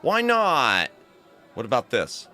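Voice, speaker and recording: Man, streamer, strained throat, playful rambling chat, bright, lively pitch. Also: slow pace, soft background music. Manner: playful, rambling, hums tunes to himself. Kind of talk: casual livestream chat.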